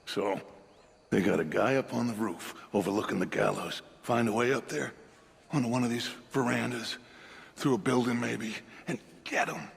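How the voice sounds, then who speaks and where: An actor playing a commanding middle-aged outlaw gang leader in a dramatic video game, gravelly and theatrical. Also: male voice, mid-range voice, heavily acted. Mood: wary, conspiratorial. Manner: grandiose, deliberate, commanding.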